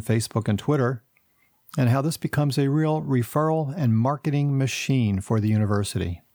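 A man's voice: mid-range voice, darkish tone, no echo, studio quality. A man hosting a podcast, reading the intro and sponsor notes, then asking friendly questions with fillers. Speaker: podcast host.

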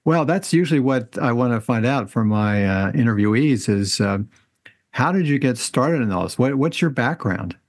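A man's voice: medium pitch, animated, normal speed. A man hosting a podcast, reading an intro and then asking friendly, short questions. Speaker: podcast host.

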